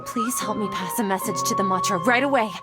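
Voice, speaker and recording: A woman's voice: medium pitch, quick pace, over loud music, natural voice. A woman playing an earnest, anxious young female scholar in a video game, easily flustered. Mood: panicked.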